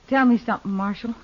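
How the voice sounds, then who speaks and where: A woman's voice: medium pitch, slightly rough, lightly acted. An actress playing a tough, self-reliant frontier ranch woman in a radio western, terse and plain-spoken. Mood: curious. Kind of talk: 1950s radio western acting. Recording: dull old radio recording.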